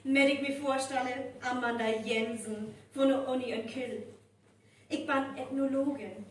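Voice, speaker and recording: Eine Frau spielt in einem live aufgeführten nordfriesischen Hörspiel eine gesprächige, ernsthafte Ethnologin. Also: female voice, high-pitched, fairly even intonation, a little slow. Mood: earnest, explaining. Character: talkative woman ethnologist from Kiel university, earnest. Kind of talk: live stage radio play acting in North Frisian. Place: live stage performance in a hall. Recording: roomy echoing live recording, distant, faint noise.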